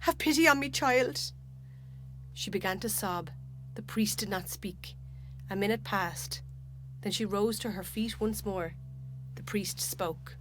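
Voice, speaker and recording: A woman with an Irish accent narrating a literary short story in a measured, dramatic way. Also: mid-range voice, fairly even intonation, slight background noise, rough recording.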